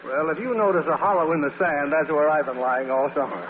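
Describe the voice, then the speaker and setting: Radio host, tinny 1940s broadcast. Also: male voice, a little high, quick pace. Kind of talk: scripted announcing and star chat, 1940s radio. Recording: echoing 1940s radio broadcast, narrow band, hiss.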